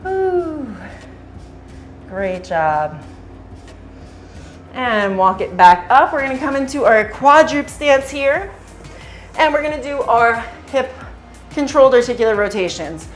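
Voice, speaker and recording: A woman fitness instructor giving calm step-by-step exercise cues, her voice slightly hoarse from singing at a concert. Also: fairly high pitch, a little slow, pauses often, faint music behind. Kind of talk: guided workout cueing.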